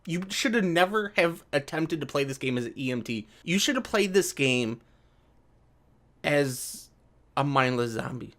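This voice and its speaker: A man's voice: fairly high pitch, lively intonation, measured pace, fairly bright tone. A man giving casual, sarcastic commentary as a video creator, with an exasperated and profane way of talking. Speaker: video creator reacting to an article. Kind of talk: casual commentary alternating with reading an article aloud.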